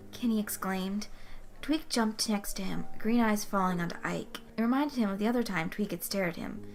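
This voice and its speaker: A woman reading a fan-written story aloud as narrator, in expressive scripted narration. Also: medium pitch. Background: music under the voice.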